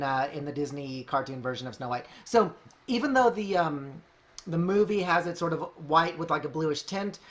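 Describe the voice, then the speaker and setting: A person giving a casual, unscripted how-to narration as a home baker, in a chatty, rambling, hedging way. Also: medium pitch. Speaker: home baker hosting a craft project video. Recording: clearly noisy home recording.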